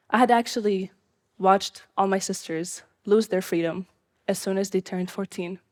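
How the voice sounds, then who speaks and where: A young woman from Syria telling her own life story live, in an earnest, measured way. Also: medium pitch, darkish tone.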